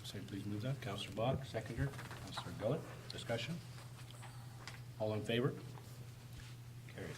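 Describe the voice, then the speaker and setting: Hoarse man chairing a council meeting. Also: fairly low pitch. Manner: brisk, procedural motions. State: hoarse, raspy voice. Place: council chamber. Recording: noisy room recording.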